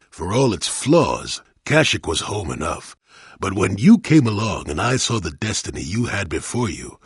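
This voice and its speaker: An actor playing a cantankerous, wry old male Jedi hermit in a video game, with a gravelly, husky voice and a grumbling, sardonic manner. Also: on the low side, no room sound, studio quality, heavily acted. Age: older. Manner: grumbling, sardonic, theatrically expressive. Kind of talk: video game character dialogue.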